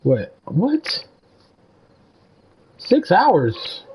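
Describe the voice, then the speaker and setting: A man's voice: high-pitched, wildly swooping pitch, extremely slow, a little mellow, breathy edge. A young man giving casual, slangy live commentary as he streams a video game. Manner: slangy, informal. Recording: slightly dull stream audio. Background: game music.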